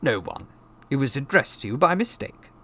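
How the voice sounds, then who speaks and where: Audiobook uncle, played by a male narrator: middle-aged bully, sharp and forceful, croaking, sneering, swings wildly in pitch. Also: expressive, slow, exaggerated voice. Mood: strained. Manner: sneering, snapping, roaring. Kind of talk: audiobook character voice.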